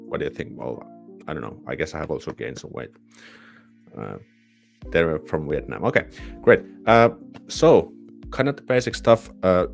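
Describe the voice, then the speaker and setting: Finnish guy, super low voice, rambly live stream chat, faint music in the back. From Finland. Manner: rambling, chatty, self-deprecating, with false starts. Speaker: YouTuber hosting a live Q&A.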